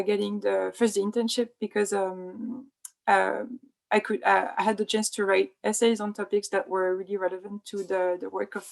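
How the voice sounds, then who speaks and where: A young woman researcher speaking on an online panel, halting and full of fillers. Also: medium pitch, vocal fry, low-quality recording. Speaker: think tank researcher.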